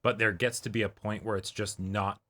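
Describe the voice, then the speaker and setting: A man's voice: lowish voice, flat delivery, fairly quick, pushed and bright. A man chatting casually as a co-host, agreeable and given to acting out imagined dialogue. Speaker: co-host of a reaction show. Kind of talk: casual chat and commentary.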